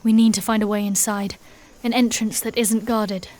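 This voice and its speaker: A woman playing a proud, stern warrior knight in a dark fantasy audio drama, speaking in a formal, commanding way. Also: mid-range voice, level tone, normal speed, natural voice. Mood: wary, focused.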